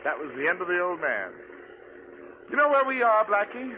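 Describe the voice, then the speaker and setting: Young murderer pilot, old time radio crime show, very animated high voice, crackly narrow sound. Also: male voice, normal speed. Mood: gloating. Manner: taunting, theatrical. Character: gloating young male murderer pilot, turns panicky. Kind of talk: 1940s radio crime drama acting. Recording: noisy tinny old radio recording, engine drone.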